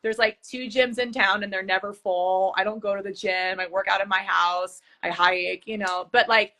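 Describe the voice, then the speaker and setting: Bright-voiced woman in her thirties. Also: mid-range voice, fairly even intonation, normal speed. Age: 30s. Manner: chatty, enthusiastic, many fillers. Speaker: fitness and nutrition coach, co-host. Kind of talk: casual podcast conversation.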